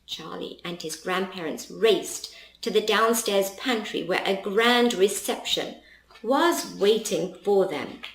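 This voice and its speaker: A woman reading a children's story aloud as an expressive storyteller. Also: mid-range voice, slow pace, vocal fry. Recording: roomy, echoing voice recording.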